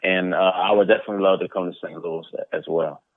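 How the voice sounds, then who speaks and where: Low-voiced man on a phone-like line. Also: fairly even intonation, quick pace. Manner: repeats words and phrases, informal and conversational. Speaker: interview guest, comic book publisher. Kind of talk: casual interview chat.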